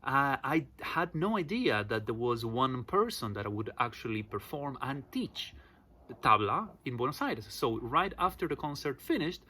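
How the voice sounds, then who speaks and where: Man in his forties, Argentine accent, chatty storytelling, medium pitch. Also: sing-song, normal speed, pushed and bright, husky. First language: Spanish. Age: 40s. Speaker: vocal percussion teacher. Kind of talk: personal story vlog.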